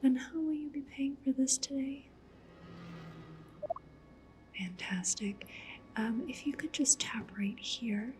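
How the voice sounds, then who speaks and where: Lady doing a soft whispery sci-fi matchmaker asmr, slow and professional, noisy ambience in back. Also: high-pitched, pitched above the performer's own voice. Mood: calm, businesslike. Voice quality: breathy whisper. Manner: gentle, professional, attentive. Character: polite, discreet cyberpunk matchmaker woman interviewing a client. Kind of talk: soft-spoken ASMR roleplay.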